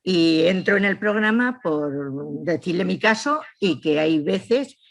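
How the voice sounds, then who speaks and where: A woman's voice: lowish voice, animated, a little slow, creaky. Spanish woman giving a personal testimony. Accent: Castilian Spanish from southeastern Spain. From Spain. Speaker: course attendee and family carer. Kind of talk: personal testimony at a public talk.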